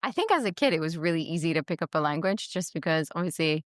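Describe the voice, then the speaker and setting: A woman's voice: low voice, animated, dry recording. Chatty young woman from Montreal, dry sound. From Montreal, Canada. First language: Italian. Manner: chatty and upbeat, quick laughs. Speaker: interview guest, interior designer. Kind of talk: casual interview conversation. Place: recorded in a workspace studio in London.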